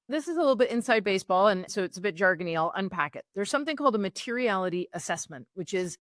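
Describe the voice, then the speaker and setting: A woman's voice: medium pitch, no room sound, studio quality. A woman guest on an interview podcast, a sustainability consultant explaining her ideas step by step in a reflective, conversational way.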